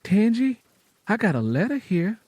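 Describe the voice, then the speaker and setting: A man's voice: high voice, very animated, moderate pace, a little mellow, slightly rough, no room sound, voice pushed up, heavily acted. A man voicing a caring, hopeful country woman in an audiobook drama, earnest, coaxing and folksy. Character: caring, hopeful, pleading Southern country woman with little schooling.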